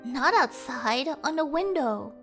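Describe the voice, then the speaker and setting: A woman's voice: high-pitched, animated, measured pace, fairly bright tone, soft background music, full character voice. A woman voicing an eager young boy who bickers bossily with his little sister. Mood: eager, insistent. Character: young boy, eager, argumentative older brother.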